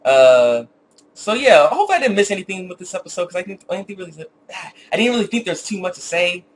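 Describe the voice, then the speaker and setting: Man, rapid talker, casual vlog review, animated rambling retelling, noisy home recording. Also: sits high. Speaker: anime reviewer with his own video channel.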